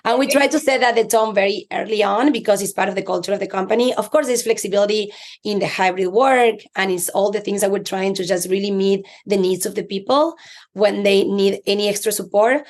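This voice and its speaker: A woman's voice: mid-range voice, darkish tone. Argentine-accented woman, earnest interview guest. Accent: Argentine Spanish. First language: Spanish. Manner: earnest, reflective, with fillers and restarts. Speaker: chief people officer, guest. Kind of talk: interview conversation.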